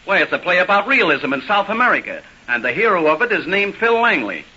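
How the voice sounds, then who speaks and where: An actor playing a brash, eager, wisecracking American newspaper reporter in an old-time radio play, pushy and excitable. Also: male voice, sits high, fast, breathy edge, heavily acted. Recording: hissy, dull old radio recording.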